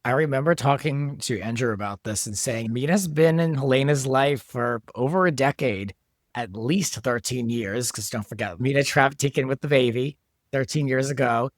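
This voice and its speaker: A man's voice: mid-range voice, moderate pace, no room sound, studio quality. A man talking as a podcast guest and show creator, explaining things conversationally and thinking aloud as he goes. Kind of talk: conversational behind-the-scenes discussion.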